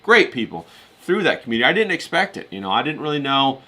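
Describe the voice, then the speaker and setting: A man's voice: mid-range voice, very animated, quick pace, pushed and bright. A man hosting a livestream, chatting casually in a folksy, colloquial, rambling way and often repeating himself.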